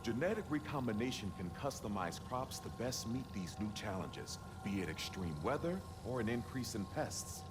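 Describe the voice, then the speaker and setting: An actor playing an encouraging scientist who explains his work in measured tones in a game cutscene. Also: lowish voice, animated, lightly acted. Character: middle-aged male plant geneticist, genial and encouraging. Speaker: scientist and mentor. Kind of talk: video game cutscene acting. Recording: game soundtrack music behind.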